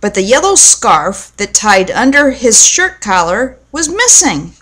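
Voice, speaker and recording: A woman reading a children's storybook aloud to young listeners in a deliberate, explanatory way. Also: on the high side, sing-song, a bit bright.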